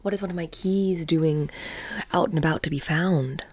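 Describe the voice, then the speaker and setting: A woman playing a sly, mysterious witch shopkeeper in a soft-spoken ASMR roleplay, hushed and breathy, slipping in and out of whispers. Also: sits low, normal speed. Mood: stern, curious.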